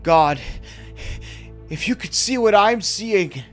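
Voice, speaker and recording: A terrified man , as a male storyteller acts him out, cries out in a horror tale. His voice is strained and trembling, forced far up in pitch. He pleads urgently like someone who knows he is doomed, with music faintly behind. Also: animated, slow pace, fairly bright tone, full character voice. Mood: horrified awe. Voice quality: strained, shaky, pushed high. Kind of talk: dramatic horror story reading.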